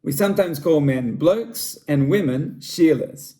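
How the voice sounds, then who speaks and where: A middle-aged Australian man giving a friendly, measured talk to students about his country as a school library director. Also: on the high side, expressive, mellow. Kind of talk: scripted cultural presentation for students.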